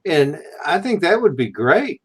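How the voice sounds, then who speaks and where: A man's voice: fairly high pitch, big pitch swings, measured pace, a bit bright, slightly rough. A man in his sixties hosting a casual group chat, speaking in an easygoing conversational style. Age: 60s. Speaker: host of a group livestream.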